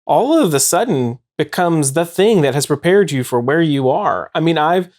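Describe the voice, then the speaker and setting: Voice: mid-range voice, lively intonation, normal speed, darkish tone. Explanatory host discussing books. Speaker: show host. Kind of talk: casual book discussion.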